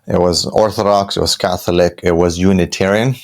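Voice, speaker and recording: Guest, thirties, Romanian accent, low voice, rambling conversational storyteller. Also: male voice, steady tone, a little fast. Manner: conversational, with frequent fillers and restarts. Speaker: podcast guest. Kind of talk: personal storytelling.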